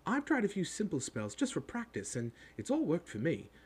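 Man as know-it-all schoolgirl, high-pitched, bossy, eager, animated, rattling on, storybook character. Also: brisk, slightly rough, exaggerated voice.

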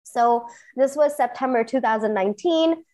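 Enthusiastic higher-voiced woman from Singapore. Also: normal speed, warm tone. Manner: earnest, enthusiastic, emphatic repetitions. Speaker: interview guest, entrepreneur. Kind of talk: personal storytelling in conversation.

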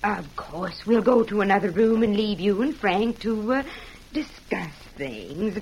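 A woman's voice: medium pitch, lively intonation, unhurried, a little mellow, husky. An actress playing a domineering middle-aged farm mother in an old-time radio western, imperious and theatrical. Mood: delighted, gushing. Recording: noisy vintage radio recording.

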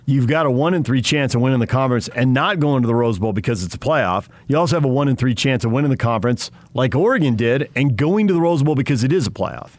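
A man's voice: medium pitch, animated, fast, few pauses, no room sound. A man co-hosting sports talk radio, chiming in and explaining things in a conversational way.

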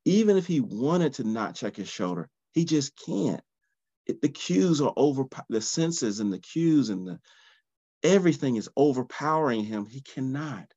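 A man hosting a solo podcast in a groggy early-morning voice, talking in an animated, conversational way and often restarting his phrases. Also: mid-range voice, normal speed, husky, dry recording, lo-fi sound. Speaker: podcast host, soccer training founder.

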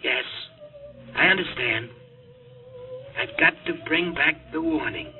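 A man's voice: fairly high pitch, takes it slowly. A man acting a desperate, haunted test pilot in an old-time radio drama. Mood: dazed, grave. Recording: tinny vintage radio broadcast, music underneath.

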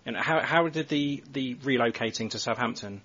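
A man hosts a podcast in a middle-pitched, husky voice. He asks loose, rambling questions with plenty of fillers. The audio sounds dull and lo-fi. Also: moderate pace, fairly bright tone. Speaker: podcast host. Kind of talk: casual podcast interview.

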